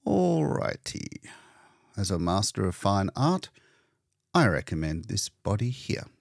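A male narrator plays a bleak, mocking painter in a story. He talks slowly and with a gravelly husk, wry and falsely courteous, as if needling whoever listens. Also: fairly low pitch, very animated, no echo, studio quality, heavily acted. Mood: sardonic. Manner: wry, mock-polite, needling.

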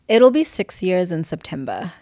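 A woman's voice: on the low side, big pitch swings, moderate pace, darkish tone, no room sound, clean studio sound. A young adult woman from Zimbabwe with a Zimbabwean accent, talking thoughtfully as a podcast guest. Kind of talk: casual conversation.

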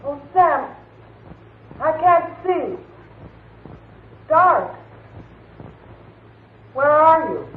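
High, fretful radio femme fatale. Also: female voice, big pitch swings, drawn-out words, long pauses, exaggerated voice. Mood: faint, wary. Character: nervous, scheming, breathy-sweet young femme fatale. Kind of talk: 1940s radio melodrama acting. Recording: noisy, tinny old radio recording.

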